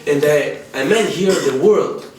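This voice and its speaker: A young man from Kazakhstan, speaking excitedly in rough English with some casual swearing. His voice is a bit high for a man. It comes from far off the mic in a very echoey room. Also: a little slow, slight background noise.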